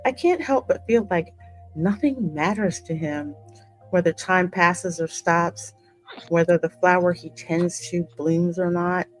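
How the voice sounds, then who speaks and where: Gamer lady, slightly low voice, acts out the script n reacts, faint music behind. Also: a little slow, rough recording. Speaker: gaming host voicing the player character. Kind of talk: dramatic script reading mixed with casual reactions. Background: game music.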